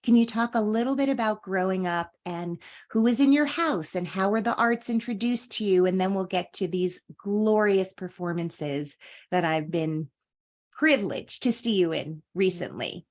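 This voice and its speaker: A woman's voice: medium pitch, moderate pace. Effusive woman podcast host. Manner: effusive, chatty. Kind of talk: interview hosting.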